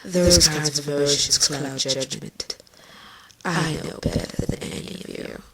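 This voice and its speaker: A woman voicing a sardonic, villainous creature queen in a fan story reading, speaking in a dry, taunting way with a breathy edge. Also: low voice, measured pace, full character voice. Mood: wicked amusement. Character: sardonic villainous female insect-like changeling queen. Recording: faint noise and clicks.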